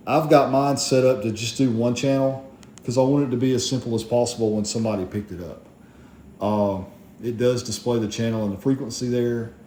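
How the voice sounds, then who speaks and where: A man's voice: medium pitch, warm tone. A man casually reviewing gear as a radio hobbyist, plainspoken and rambling as he explains things. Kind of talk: casual product review vlog. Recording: echoey room, faint background noise.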